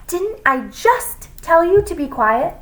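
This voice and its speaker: Storybook schoolteacher, voiced by a woman reader: short-tempered, menacing, demanding, swooping and highly expressive. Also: a little slow, exaggerated voice. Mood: furious. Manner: sharp, demanding, emphatic. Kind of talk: children's storybook character voice.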